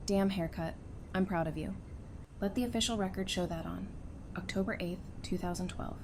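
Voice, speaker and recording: A woman's voice: mid-range voice, moderate pace, close to an everyday voice. Wry, teasing Talissa, played by a woman. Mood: warm, wry. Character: Talissa, sassy, teasing, warm-hearted woman. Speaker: voice actor in a fan audio drama. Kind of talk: acted audio drama dialogue. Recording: faint background ambient noise.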